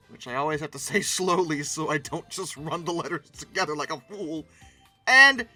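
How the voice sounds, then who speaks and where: Online host for casual picture commentary; very forceful, bright delivery, highly animated and theatrical. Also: no echo. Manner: theatrical, with mock-dramatic emphasis. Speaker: host of a paleoart commentary channel.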